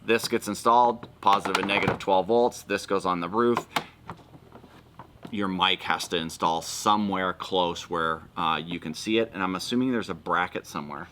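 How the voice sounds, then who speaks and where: Bright-voiced man explaining gear casually. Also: low-pitched, normal speed, slight background noise. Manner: informal, explains step by step. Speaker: video host. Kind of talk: casual unboxing and product walkthrough.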